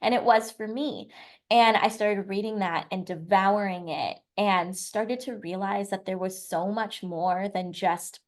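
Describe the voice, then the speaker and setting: A woman's voice: medium pitch, unhurried. A woman in her twenties from the United States, a podcast guest telling her life story in a chatty, run-on way. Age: 20s. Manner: chatty, lively storytelling with long run-on sentences. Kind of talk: casual storytelling interview.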